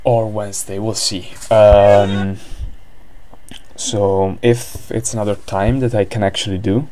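Low-voiced Italian man, hesitant commentator. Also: flat delivery, takes it slowly, warm tone, slight background noise. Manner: hesitant, many fillers and restarts. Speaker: game commentator. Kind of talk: live-streamed game commentary.

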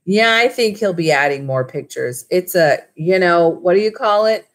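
A woman's voice: on the low side, moderate pace, natural voice. Woman reading as blustering, brusque storybook businessman. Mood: eager, boastful. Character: Mac, gruff middle-aged male mall owner, blustering. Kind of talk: storybook read-aloud.

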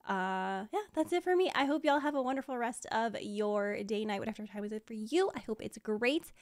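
A woman's voice: fairly high pitch, lively intonation, brisk. A young woman chatting casually to her viewers as a streamer, informal and effusive. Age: young adult. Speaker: streamer and video host. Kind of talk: casual reaction chat. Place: small home streaming room. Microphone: close desk mic, headset worn.

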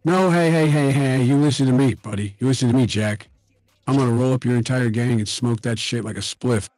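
A man's voice: medium pitch, a little fast, darkish tone. A man voicing a rambling, belligerent old president through an AI voice changer, trash-talking and repeating himself on a livestream. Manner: repetitive, trash-talking, foul-mouthed.

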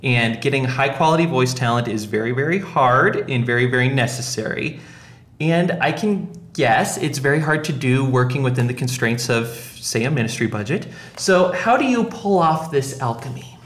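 A man's voice: mid-range voice, lively intonation, moderate pace, a bit bright. Man hosting casually in an echoey room. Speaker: podcast host. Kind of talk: casual chat. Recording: roomy echo.

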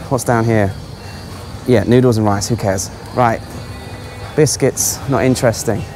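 A man's voice: mid-range voice, darkish tone. Chatty man vlogging over background music. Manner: chatty, exclaiming, offhand. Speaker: travel vlogger. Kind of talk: casual running vlog commentary. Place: large supermarket aisles.

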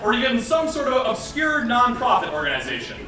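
A young man playing an excitable scientist who lectures on rom-com rules in a mock-serious, scripted stage style. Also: very high voice, brisk, heavily acted. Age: young adult. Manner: theatrical, mock-serious delivery. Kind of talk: scripted comic stage narration. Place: stage in a large theater. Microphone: far camera. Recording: distant, very echoey theater stage.

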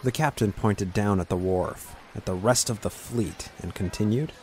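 A man's voice: fairly low pitch, normal speed, darkish tone, slight background noise. A man narrating a scripted fantasy story.

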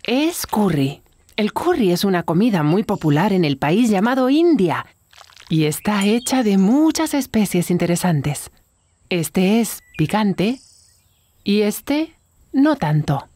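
Cocinera animada y amable. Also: female voice, medium pitch, sing-song, slow pace, dark tone. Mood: welcoming. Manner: welcoming, explanatory. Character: friendly woman food vendor cooking curry. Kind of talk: children's cartoon dubbing.

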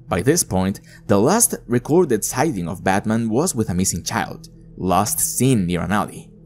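A man's voice: low voice, a little mellow. A man reading scripted narration, recapping and explaining a story as a video narrator.

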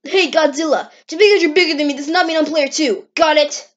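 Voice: high-pitched, fairly quick, a bit bright. A performer voicing a cocky, teasing young male hedgehog with slangy talk in an amateur cartoon comic dub. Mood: jealous. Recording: dull, lo-fi screen-recorded sound.

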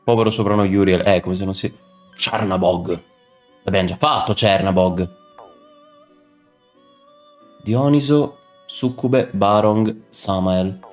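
A man's voice: on the low side, slow pace, pauses often. A young man doing casual live game commentary, muttering to himself and chatting with viewers as he plays. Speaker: video game streamer. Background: video game music.

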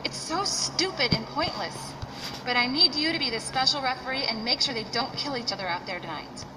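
A woman's voice: high voice, lively intonation, brisk. An actress playing an earnest, worried young female wrestler in a video game cutscene. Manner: pleading, earnest. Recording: distant noisy game audio.

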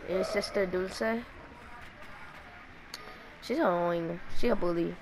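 A woman's voice: on the low side, takes it slowly, background noise. A young woman chatting casually and teasing a friend while playing a game, laughing often. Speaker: gamer streaming with a friend. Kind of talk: casual gameplay chat.

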